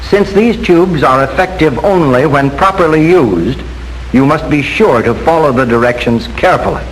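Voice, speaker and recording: An American man reads a scripted 1940s instructional film narration in a formal, measured, instructive manner. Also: on the high side. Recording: noisy 1940s film soundtrack with hiss.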